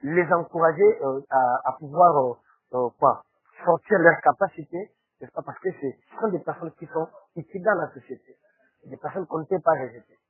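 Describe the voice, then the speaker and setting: A man from DR Congo with a Congolese accent and a husky voice, answering formally as a spokesman, hesitantly and with frequent tag questions. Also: on the high side, moderate pace. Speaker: interview guest, foundation spokesman. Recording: roomy, tinny phone-like sound.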